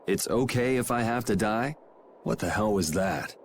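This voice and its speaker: A man's voice: on the low side, animated, normal speed, slight background noise. An actor playing a self-assured young male adventurer in a video game, talking in a casual, wry, plain-spoken way. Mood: stern. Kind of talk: video game cutscene acting.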